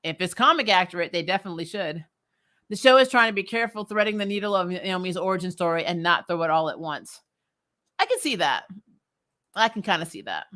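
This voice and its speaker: A woman hosting a casual fan recap livestream, animated and giggly, giving emphatic opinions and repeating herself for effect. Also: on the low side, a little fast. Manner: giggly, amused. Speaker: host of a fan recap show. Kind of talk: casual livestream chat, reading and answering viewer comments.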